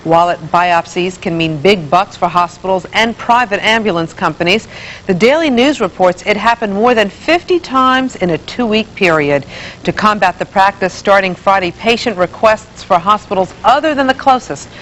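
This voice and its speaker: Woman reading tv news, punchy, normal pitch, little bit of hiss. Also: normal speed, fairly bright tone, rough recording. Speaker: television news presenter.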